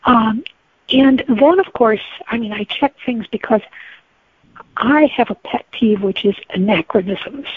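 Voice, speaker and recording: A woman's voice: fairly high pitch, measured pace, slightly rough, no room sound. A woman being interviewed as a guest author, speaking conversationally in an earnest, opinionated way. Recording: thin, tinny phone-like line.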